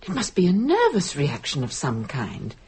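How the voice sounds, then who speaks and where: An actress playing a level-headed, drily sardonic British woman in a radio drama, brisk and commanding. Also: sits low, huge pitch swings, background noise, full character voice. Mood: calm, concerned.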